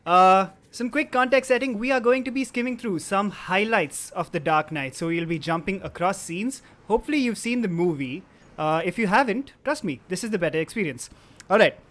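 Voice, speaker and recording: A man's voice: a little high, fairly bright tone. A man hosting a comedy table read, chatting casually and narrating stage directions. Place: remote online stream. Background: live guitar.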